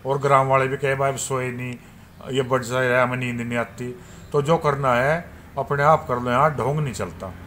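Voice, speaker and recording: A man's voice: mid-range voice, fairly even intonation, normal speed, a bit bright. A man in his sixties with a western Uttar Pradesh accent, giving unscripted interview answers. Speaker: local interviewee. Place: outdoors among trees. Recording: noisy outdoor field recording.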